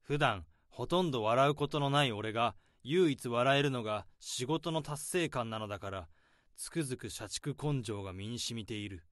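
A man playing a young office worker who calmly unravels a crime in a radio drama. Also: medium pitch, dry recording, clean studio sound, close to an everyday voice. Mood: brooding narration. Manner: calm, probing, deliberate.

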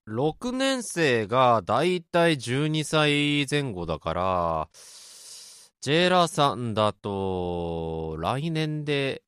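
A man playing a gentle, encouraging junior friend in a radio drama, speaking casually and politely with thoughtful hesitation. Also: mid-range voice, very animated, slow pace, clean tone, dry recording, clean studio sound. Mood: musing. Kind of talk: amateur radio drama dialogue.

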